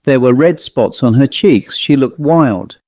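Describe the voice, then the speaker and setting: A man narrating a literary ghost story in a measured, expressive storytelling manner. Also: mid-range voice, no room sound. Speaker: audiobook narrator.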